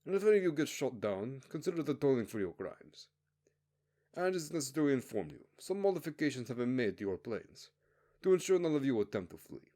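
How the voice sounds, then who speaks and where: A man acts out a hard military base commander for a story reading. He speaks up forcefully, pitched above the narrator's low register, and hands out orders with open contempt. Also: sing-song, fast, lightly acted. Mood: scornful, commanding. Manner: barking, scornful, commanding.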